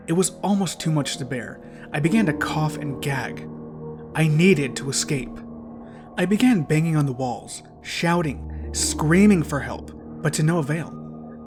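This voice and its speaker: A man narrates a short horror tale in the first person. His voice sits a little higher than most men's, and he delivers it with suspense and theatrical drama. A soundtrack plays clearly behind him. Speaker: storyteller reading short horror fiction. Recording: music behind the voice. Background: clear background soundtrack music.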